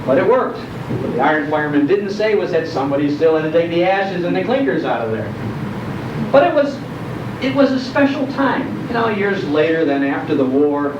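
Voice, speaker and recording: An older man with a Chicago accent gives an informal, folksy talk to an audience, reminiscing in descriptive detail with emphatic pauses. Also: sing-song. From Chicago area, United States. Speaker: guest speaker, nostalgia storyteller. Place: indoor program venue, recorded from a distance. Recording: echoey room, distant mic, dull, noisy rumble.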